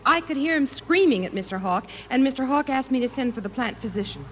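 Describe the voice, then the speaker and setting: Excitable witness secretary, high voice, echoey hall, old radio drama. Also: female voice, quick pace. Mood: agitated, earnest. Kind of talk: 1950s radio drama acting. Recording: echoing hall, dull noisy old radio.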